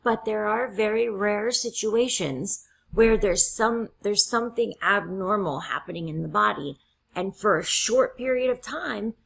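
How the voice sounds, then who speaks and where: A woman instructor walks her students through a lecture step by step, often checking in with "right?". Her voice is husky and gravelly, with the fry of someone losing her voice. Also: mid-range voice, a bit bright, low-quality recording. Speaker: college instructor. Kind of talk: classroom lecture.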